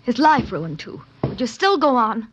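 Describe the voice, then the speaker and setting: A woman's voice: on the high side, sing-song, normal speed, fairly bright tone, a little hiss or hum. An actress playing a defensive, desperate young woman in 1940s radio drama style, pleading and fierce. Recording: muffled 1940s radio broadcast.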